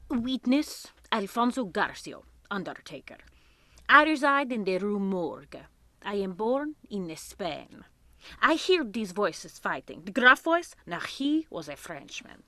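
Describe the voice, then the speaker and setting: A woman in a live radio drama playing earnest male witnesses giving deliberate, theatrical testimony. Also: medium pitch, very animated, sharp tone, no echo. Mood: emphatic, agitated. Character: male witnesses: earnest bank clerk, Spanish undertaker.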